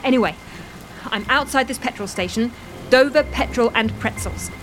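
A woman's voice: fairly high pitch, normal speed, a little hiss or hum, lightly acted, low-quality recording. A woman playing an urgent, exasperated stranded agent pleading for help in an audio drama.

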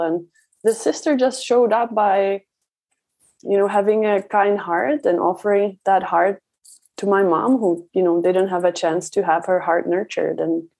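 A woman from the Czech Republic is talking as a guest in an interview. She tells personal stories conversationally, at a middle pitch for a woman. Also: a little mellow. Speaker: parenting coach, interview guest.

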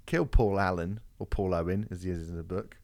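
Rambling, sweary podcast host. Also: male voice, low-pitched, fairly even intonation, fairly quick, darkish tone, no room sound. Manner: swearing, rambling, laughs often. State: voice a bit off after karaoke. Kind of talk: casual podcast chat.

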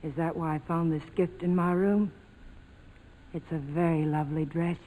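An actress playing a defiant, wary young woman with sharp, clipped retorts in a 1940s radio drama. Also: sits low, fairly even intonation, moderate pace. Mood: softened, guarded. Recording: vintage radio broadcast, reverberant.

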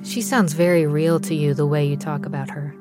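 A woman's voice: low voice, fairly even intonation, brisk, over music. A woman hosting a radio show, narrating from a script. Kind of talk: scripted narration with some interviewing.

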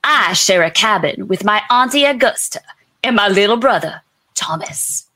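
A woman's voice: mid-range voice, animated, normal speed, pushed and bright, no room sound, poor audio. A woman playing a proud, boastful eldest son of a plantation family in an amateur dramatic reading. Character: proud eldest plantation boy, heir to his father.

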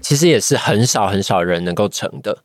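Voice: low voice, expressive, brisk. A young adult with a Taiwanese accent, answering as a podcast guest in a careful, thoughtful, casual way. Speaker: guest, voice-acting trainee.

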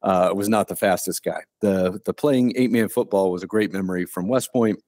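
Retired officer, fifties, from Ohio; low voice, plainspoken, unhurried, remote-call guest. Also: male voice, a little mellow, no echo, studio quality. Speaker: guest, retired Army colonel. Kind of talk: casual interview conversation.

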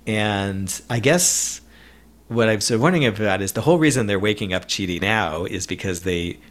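A man's voice: fairly low pitch, expressive, normal speed, a bit bright. A man co-hosting a podcast, talking things through thoughtfully, often laughing and restarting his sentences. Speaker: podcast co-host. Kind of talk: casual discussion podcast.

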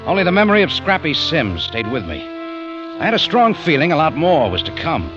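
An actor playing a hard-boiled, unflappable American cafe owner in 1940s radio detective style. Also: male voice, fairly high pitch, huge pitch swings, moderate pace. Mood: wry narrating. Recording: dull old radio recording, music behind.

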